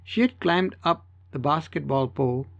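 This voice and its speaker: Male story narrator, first person, fairly high voice, slightly dull recording. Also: normal speed, gravelly. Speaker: audiobook narrator.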